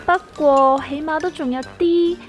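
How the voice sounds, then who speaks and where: A sardonic investment guru from a comic radio play, played by a woman, speaking Hong Kong Cantonese in a fairly high voice. Soft music runs underneath. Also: fairly even intonation, normal speed, natural voice. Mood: explaining. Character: sardonic know-it-all investment master. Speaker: voice actor in a radio drama. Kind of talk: scripted comedic dialogue.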